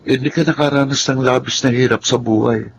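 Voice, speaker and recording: A man's voice: mid-range voice, steady tone, a little fast, a little hiss or hum, close to an everyday voice, rough recording. A man with a Filipino accent acting a polite, timid orphaned teenage boy in a radio drama, earnest and deferential. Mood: sorrowful, fearful.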